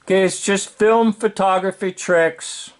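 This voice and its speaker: Slow-talking older American man, rather high-pitched. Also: fairly even intonation, heavy background noise. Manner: rhetorical, sardonic, deliberate. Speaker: commentator. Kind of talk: informal opinionated video commentary.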